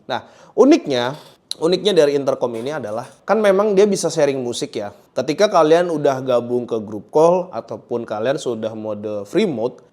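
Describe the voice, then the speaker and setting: A man's voice: medium pitch, mellow. Casual Jakarta-accented man reviewing gear. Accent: Jakarta Indonesian. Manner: colloquial, repeats phrases, addresses viewers directly. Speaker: motorcycle gear reviewer and host. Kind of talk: casual product review to camera.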